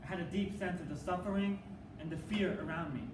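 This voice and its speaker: A man performing rehearsed, dramatic spoken word in a measured, theatrical manner. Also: sits high. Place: live competition performance in a room. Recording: echoey room, distant mic, faint noise.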